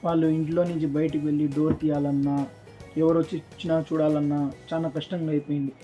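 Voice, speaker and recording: A man's voice: a little high, flat delivery, fast, mellow. A man gives an informal, explanatory product demonstration, repeating points as he addresses viewers. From South India. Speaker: presenter for a security systems shop. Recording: dull lo-fi sound with music behind.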